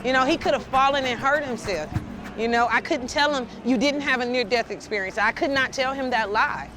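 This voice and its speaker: A man's voice: extremely high pitch, a little fast, over music. A man, a protester, recounting events emotionally in an interview outdoors on a city street. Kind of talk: interview testimony.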